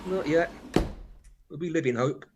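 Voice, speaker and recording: An actor playing a cheeky, henpecked middle-aged British husband in a comic radio play, confiding in a mock-apologetic way full of innuendo. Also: male voice, on the high side, takes it slowly, a little mellow, slight background noise. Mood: rueful, confiding. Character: cheeky, henpecked, sex-starved husband with a telescope.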